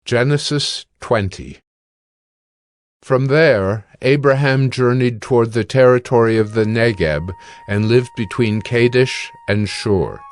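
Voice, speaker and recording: A man narrating scripture from a script in a measured, solemn manner. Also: mid-range voice, no echo.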